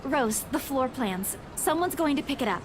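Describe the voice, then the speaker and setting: Woman acting terrified young woman, very high voice. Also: animated, moderate pace, over music. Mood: shaken, insistent. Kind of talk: visual novel character voice acting.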